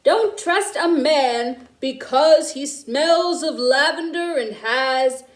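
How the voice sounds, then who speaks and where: A woman voicing a gruff, blunt but kindly old man from a storybook. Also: high-pitched, takes it slowly. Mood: gruff, warning. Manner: growling, blunt. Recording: echoey room.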